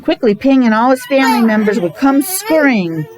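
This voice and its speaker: Higher-pitched woman reading to children. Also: big pitch swings, normal speed, a crowd in the background. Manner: child-directed, asking questions, repeating words for emphasis. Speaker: teacher reading a picture book to children. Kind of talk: animated read-aloud with casual explanatory asides.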